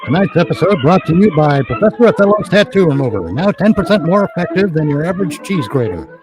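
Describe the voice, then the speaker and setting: A man's voice: high voice, sing-song, fast talker. A man bantering casually on a stream, jokingly putting on announcer-style mock ad reads. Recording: faint background noise on voice chat.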